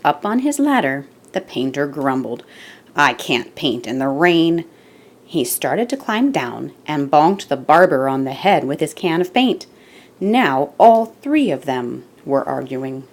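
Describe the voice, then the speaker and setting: Slow, lively woman reading a picture book. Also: deep voice. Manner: deliberate, expressive storytelling with dramatic emphasis. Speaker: children's story reader and host. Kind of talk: read-aloud picture book narration.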